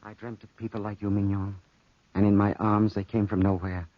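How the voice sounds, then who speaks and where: A man's voice: fairly low pitch, flat delivery, normal speed, dry recording, close to an everyday voice. A man playing a lovesick young soldier on the run in a 1940s radio drama, earnest, pleading and hesitant. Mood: tender. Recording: muffled, dry 1940s radio recording.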